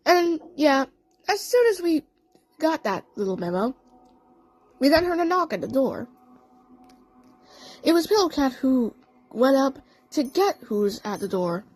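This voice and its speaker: A woman narrating a first-person story in a halting way, stumbling and correcting herself as she goes. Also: sits high, very animated, darkish tone, no echo, faint music behind, poor audio. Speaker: narrator of a fan audio story.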